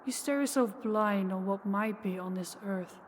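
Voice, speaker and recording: A woman playing an ancient, powerful vampire in an audio roleplay, slow, deliberate and condescending, with calm menace toward the listener. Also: medium pitch, close to an everyday voice. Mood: weary disdain. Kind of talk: intimate scripted audio roleplay addressed to listener. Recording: long hall reverb, faint background noise.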